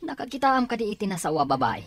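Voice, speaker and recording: A man's voice: high voice, sing-song, rapid-fire, a bit bright, no room sound, heavily acted. A man with an Ilocano accent playing a nosy, amused, teasing buddy in a radio comedy drama.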